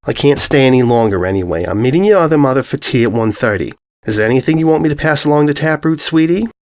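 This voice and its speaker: A man's voice: mid-range voice, lively intonation, fast talker, darkish tone, dry recording, studio quality, close to an everyday voice. A man voicing a cheerful, teasing middle-aged pony mother who is doting, blunt and effusive in a storybook reading. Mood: resigned, affectionate. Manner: effusive, coaxing, uses endearments.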